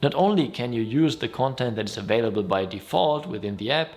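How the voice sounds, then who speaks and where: A man's voice: medium pitch, expressive, fairly quick, darkish tone. A man from Germany with a German accent, a native German speaker hosting a casual explainer vlog in a friendly, upbeat, conversational way. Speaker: YouTube host.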